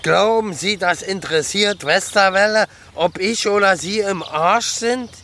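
Radio-drama role: cynical boozy neighbour, tense and high, blunt, grumbling, noisy outdoor background. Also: male voice, measured pace, pushed and bright, exaggerated voice. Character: tipsy, cynical, gruffly philosophising male neighbour. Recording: clear background noise, outdoor ambience.

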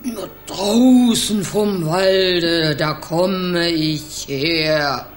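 A woman's voice: fairly low pitch, extremely slow, soft background music. A woman playing a stilted hired Santa Claus who declaims rhymes in an odd voice, in a children's radio play. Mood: solemn, stilted. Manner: odd, declamatory verse recitation.

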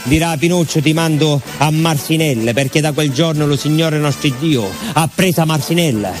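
Actor playing a rustic southern Italian villager, grieving storyteller. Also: male voice, on the high side, normal speed, faint music behind, close to an everyday voice. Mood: bitter, impassioned. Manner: colloquial, rustic, addressing an unseen listener with rhetorical questions. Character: aging southern Italian village man, grieving former emigrant miner. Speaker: stage actor. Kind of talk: theatrical monologue storytelling.

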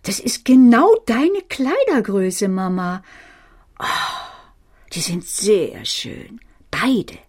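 An actress playing a brisk, excitable young mother in a naturalistic radio drama, exclaiming in short bursts. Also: medium pitch, breathy edge, heavily acted. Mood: pleased, murmuring.

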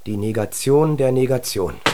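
An actor playing an eager, pedantic secret police underling in a radio drama, clipped and officious. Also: male voice, mid-range voice, expressive, moderate pace, background noise, natural voice. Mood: clipped, puzzled.

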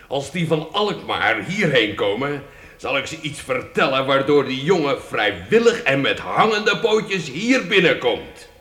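Slow, scheming castle lord, echoing. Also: male voice, medium pitch, a bit bright. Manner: deliberate, threatening. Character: scheming lord of the castle, cold, vengeful villain. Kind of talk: radio play acting. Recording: echoey radio play room.

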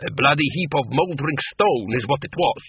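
A man's voice: on the high side, expressive, brisk, slightly rough, no echo, narrow, tinny audio, exaggerated voice. A man voicing a grumbling male vampire, a former greengrocer, sardonic, blunt and rambling, in an audiobook story. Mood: exasperated.

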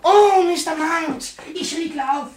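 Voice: extremely high pitch, takes it slowly. An actor in a 1950s German radio drama playing a sly, stingy old criminal fence with a fawning, agitated manner. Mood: fawning, flustered. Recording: noisy, echoey old radio recording.

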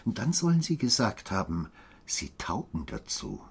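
An actor playing a stern, world-weary small-town official, measured and deliberate, in a German radio play. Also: male voice, fairly low pitch, huge pitch swings, gravelly, breathy edge, dry recording, full character voice. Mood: stern, probing.

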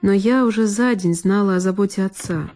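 A woman actress giving an expressive, reflective narration in a one-woman audio play. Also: mid-range voice, a little fast. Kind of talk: scripted literary narration. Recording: long reverb with violin music. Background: solo violin.

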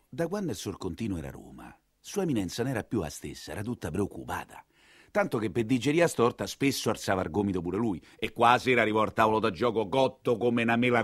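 A man with a Roman accent performing an earthy, expressive theatrical monologue as a storytelling coachman. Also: on the low side, no room sound, studio quality. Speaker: solo stage actor narrating as a coachman.

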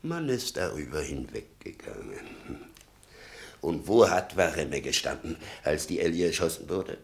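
Sardonic old father in a radio play, super deep creaky voice, swings up and down as he rambles. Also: big pitch swings, a little slow, full character voice. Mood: bitter, brooding. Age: older. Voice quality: gravelly. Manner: rambling, sardonic, muttering and flaring up. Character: bitter, sarcastic old father of a convicted son. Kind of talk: German radio play acting.

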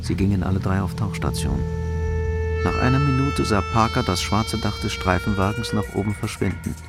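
A man's voice: on the low side, normal speed, gravelly. A man narrating a scripted crime story as the narrator of a radio play. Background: loud music.

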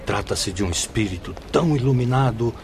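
An actor playing a reverent, knowledgeable spirit guide in a radio drama, solemn and formal. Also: male voice, mid-range voice, expressive, brisk, a little hiss or hum, lightly acted.

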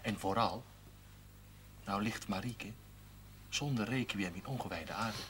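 A patient priest in a seventies TV drama. His voice is fairly low, grave and formal, with faint noise. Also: unhurried, fairly bright tone. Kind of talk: 1970s television drama acting. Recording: faint noise on old TV audio.

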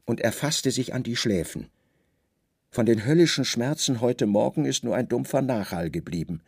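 Male narrator for literary radio drama: deliberate, dramatic phrasing, mid-pitched, gravelly texture, dry studio sound. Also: animated, no echo.

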